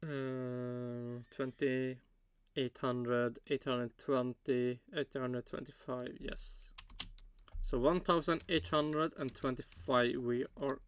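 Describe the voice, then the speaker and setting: A man hosting a casual reaction video, talking haltingly with many fillers as he counts aloud. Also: medium pitch, fairly even intonation, smooth. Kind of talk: casual commentary while watching.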